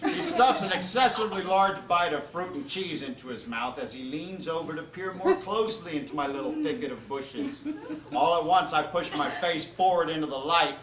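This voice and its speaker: A man's voice: on the high side, lively intonation, normal speed, crowd noise. Man reading aloud, distant and roomy. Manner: informal asides to the listeners. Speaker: author reading from his own book. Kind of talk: comedic storytelling, reading aloud to an audience. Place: live reading at a bookstore. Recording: roomy, distant, noisy live event recording.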